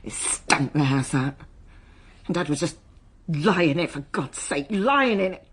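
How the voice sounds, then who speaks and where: An actress in a radio drama playing a strained, defensive schoolteacher daughter being questioned by police, halting and bristling with emotion. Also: female voice, sits low, expressive, slight background noise. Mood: exasperated. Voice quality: husky. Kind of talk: naturalistic radio drama dialogue.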